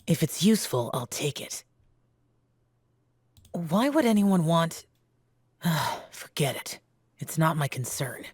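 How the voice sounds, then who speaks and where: A woman's voice: low-pitched, very animated, slow, long pauses, husky, no room sound, heavily acted. A woman voicing an aloof, curt, dismissive young warrior in a video game. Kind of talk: video game character voice lines.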